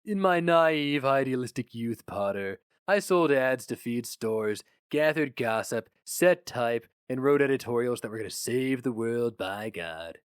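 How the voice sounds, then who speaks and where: A man voicing a brash, cynical, fast-talking male publicity boss, barking and emphatic, in a story reading. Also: mid-range voice, lively intonation, clear, resonant tone, no room sound, clean studio sound, close to an everyday voice. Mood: wry cynical.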